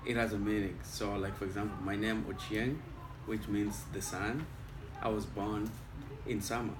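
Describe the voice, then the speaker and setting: A man from Kenya speaks with a Kenyan accent and a fairly low voice, explaining things step by step in an unhurried way. He sounds set back from the mic. The room is echoey, with a noticeable noise bed underneath. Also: steady tone, distant mic. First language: Luo. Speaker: interviewee. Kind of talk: casual interview answers.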